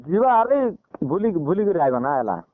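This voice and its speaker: A man with a fairly high, very animated voice and a Koraputia Odia accent chats casually. He comes through a tinny, narrow phone line. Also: normal speed. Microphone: telephone line.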